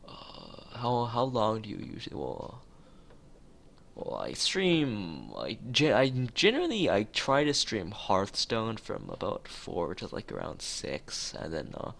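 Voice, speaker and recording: A man's voice: lowish voice, wildly swooping pitch, unhurried, gravelly, fairly bright tone. A man streaming, reading a visual novel aloud and often stumbling over phrases and repeating them. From United States. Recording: music playing behind the voice.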